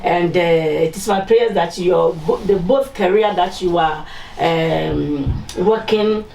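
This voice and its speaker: A woman's voice: lowish voice, takes it slowly, gravelly, warm tone. Chatty woman host in echoey room. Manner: chatty, teasing, with frequent "mm-hmm" backchannels. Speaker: interview host. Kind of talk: casual interview, switching into Twi for the sign-off. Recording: echoey room, distant mic, faint noise.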